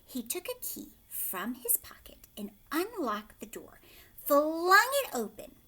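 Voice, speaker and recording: A woman's voice: high voice, sing-song, takes it slowly, pushed and bright. A woman teacher reading a storybook aloud expressively and explaining it simply to children, often with questions.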